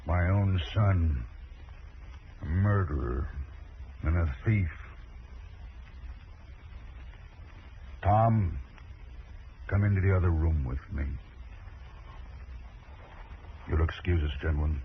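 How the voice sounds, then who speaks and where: A stern middle-aged American rancher speaks in a low voice with terse, blunt authority. It is old radio western drama, tinny and faintly hissy. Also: male voice, a little slow, pauses often. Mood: stricken, grim. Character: stern, commanding middle-aged rancher father, grimly resolute. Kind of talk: 1950s radio western acting.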